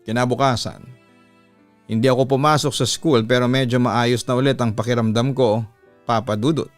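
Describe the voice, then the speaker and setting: Smooth Filipino male storyteller over music. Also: mid-range voice, steady tone, moderate pace, no echo. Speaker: radio host reading listener letters. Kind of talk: scripted dramatic storytelling narration. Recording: music behind the voice. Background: background music.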